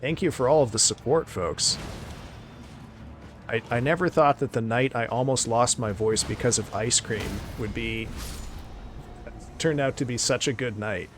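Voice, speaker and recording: A man chatting casually on a live stream, excitable and thanking viewers, his voice getting hoarse. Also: medium pitch, a little slow, pauses often, soft background music. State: losing his voice.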